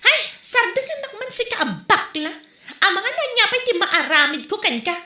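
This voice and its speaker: A woman's voice: very high-pitched, big pitch swings, normal speed, pushed and bright, exaggerated voice. A woman with a Filipino accent playing a plain-spoken village housewife in conversational comedy drama dialogue. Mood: puzzled. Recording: echoey room recording.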